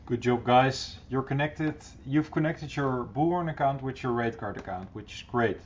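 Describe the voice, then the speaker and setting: A middle-aged man presenting an informal, encouraging software tutorial in a casual style, recorded on a computer microphone. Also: medium pitch, moderate pace. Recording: dull, slightly noisy computer recording.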